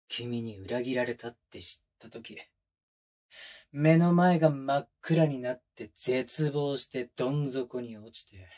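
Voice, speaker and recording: A man's voice: medium pitch, darkish tone, close to an everyday voice. A man playing a sadistic detective, one of twins, taunting a suspect in a drawling, needling way in a close-miked ASMR voice drama. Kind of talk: Japanese situation voice drama, binaural ASMR. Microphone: close binaural microphone.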